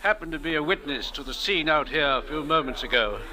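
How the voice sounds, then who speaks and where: Dapper Englishman for vintage radio drama, very bright highish voice, courteous, precise, proper. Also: lively intonation, a little fast. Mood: smooth, courteous. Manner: formal, polite, precise. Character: immaculate, mustached, courteous English gentleman from Manchester. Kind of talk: old-time radio drama acting.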